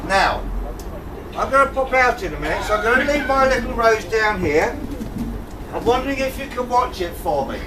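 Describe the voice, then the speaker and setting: Man playing a high-voiced, jokey pantomime king, echoey hall. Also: lively intonation, moderate pace. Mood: coaxing, playful. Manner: theatrical, coaxing, bantering with the crowd. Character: forgetful, jokey pantomime king fussing over his rose. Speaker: amateur pantomime actor. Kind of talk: live pantomime, addressing the audience. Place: indoor tavern stage with live audience. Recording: echoey hall from far off, audience noise.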